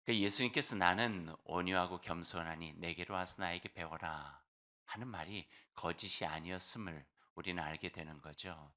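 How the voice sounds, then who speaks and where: Low-voiced Korean pastor, hoarse from a cold. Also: male voice. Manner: warm conversational preaching with fillers and slow emphatic phrases. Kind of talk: sermon.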